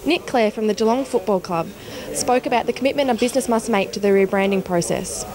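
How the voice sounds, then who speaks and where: A woman's voice: mid-range voice, quick pace, crowd noise. A woman with an Australian accent working as a television reporter, giving news reports and asking interview questions on location.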